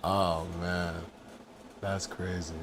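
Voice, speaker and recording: A man's voice: low-pitched, flat delivery, extremely slow, fairly bright tone, slight background noise. A man streaming a game, chatting casually in laid-back, slangy bro talk. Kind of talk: casual gameplay chat.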